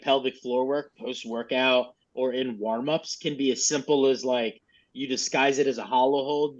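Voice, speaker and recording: A man's voice: medium pitch, a bit bright. A man coaching a group in casual, informal, mildly profane instructional talk over a lo-fi video call. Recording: dull, lo-fi video call audio.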